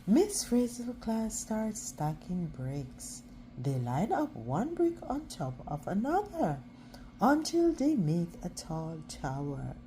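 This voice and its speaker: A woman reading a children's picture book aloud as a storyteller, expressive and now and then halting over words. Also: mid-range voice, a little hiss or hum, low-quality recording.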